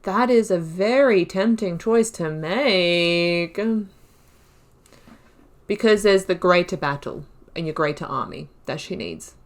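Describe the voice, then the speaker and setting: A woman's voice: fairly low pitch, takes it slowly. A woman hosting a game playthrough, chatting casually, swearing and reading dialogue choices aloud. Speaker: gaming video host. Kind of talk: casual let's play commentary.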